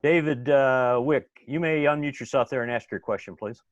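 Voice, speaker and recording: A man's voice: mid-range voice, normal speed, no echo, muffled recording. A man hosting an online club meeting, talking casually with frequent fillers. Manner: chatty, filler-heavy. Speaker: meeting host.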